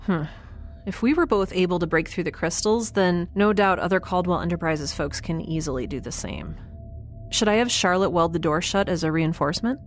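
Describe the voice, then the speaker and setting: A woman's voice: lowish voice, lively intonation, normal speed, faint music behind. An actress playing a curious young woman scientist aboard a spaceship in an audio drama, talking in an awkward, wry, hesitant way. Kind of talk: audio drama acting, conversational. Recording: clear ambient noise behind.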